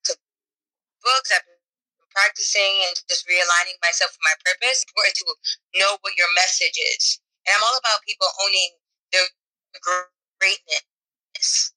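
A woman from New York joins a video call as a guest. Her voice is very bright and sharp at a middle pitch. She speaks in an upbeat, affirming way and often says "like". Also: low-quality recording. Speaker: singer and guest. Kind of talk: casual video-call interview.

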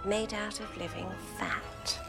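A woman playing a cool, deliberate villain explaining herself in a television drama. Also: mid-range voice, steady tone, vocal fry. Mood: coolly explaining. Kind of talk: acted drama dialogue. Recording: loud music under TV drama clip. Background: loud music.

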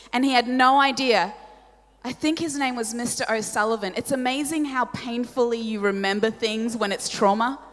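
A woman in her forties with an Australian accent, a native Polish speaker, preaching a sermon with animated, self-deprecating storytelling and questions to the audience. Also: sits high. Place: large church hall. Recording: church hall reverb.

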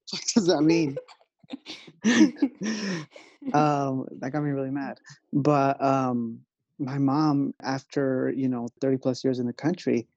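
Gravelly man, dark dry lo-fi sound. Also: medium pitch, no echo. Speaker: author. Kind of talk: conversational talk.

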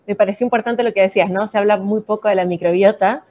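An Argentine-accented woman explains her scientific work in a measured way, with fillers between her points. Her voice sits at an ordinary middle pitch. The recording is dull and lo-fi. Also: fairly even intonation, warm tone. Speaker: chief science officer, guest. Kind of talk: casual interview.

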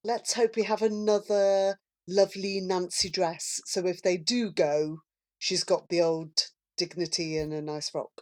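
A woman's voice: on the low side, steady tone, measured pace, darkish tone. A woman chatting casually as a panellist on a podcast. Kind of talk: casual chat.

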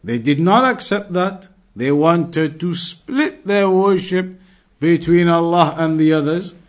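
A man's voice: fairly high pitch, animated, takes it slowly. A man giving a religious class lecture as a teacher, speaking emphatically with repetition and rhetorical questions. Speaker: Islamic studies teacher.

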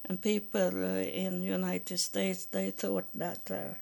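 A woman from Sweden with a Swedish accent, telling her own story in halting English with many pauses and restarts. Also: lowish voice, vocal fry, a little mellow. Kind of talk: personal testimony.

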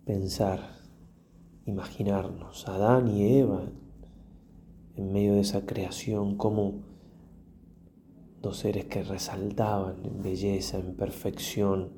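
Slow, mellow priest leading meditation. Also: male voice, low-pitched, level tone, slight background noise. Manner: solemn, reflective, with long pauses and softly trailing phrases. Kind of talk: guided prayer meditation.

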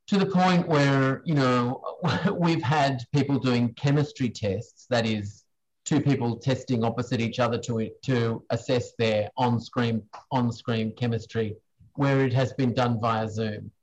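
A man giving unscripted, hesitant answers in a question-and-answer session, halting as he searches for words. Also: medium pitch, rough recording. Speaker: guest speaker, screen industry professional answering questions.

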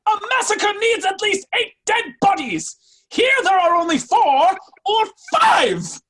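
A woman's voice: very high-pitched, measured pace, full character voice. A woman playing an argumentative, easily worked-up office worker in a play reading. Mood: indignant. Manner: excitable.

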